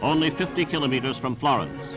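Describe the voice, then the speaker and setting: A man's voice: medium pitch, animated, brisk, over music. A man reading scripted 1940s newsreel narration in a formal, emphatic, declamatory manner on a vintage soundtrack.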